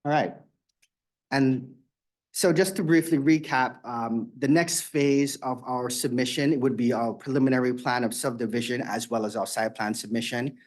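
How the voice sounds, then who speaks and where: A man's voice: medium pitch, steady tone, moderate pace. A man presenting development plans at a community meeting, explaining steadily with frequent fillers. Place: meeting room.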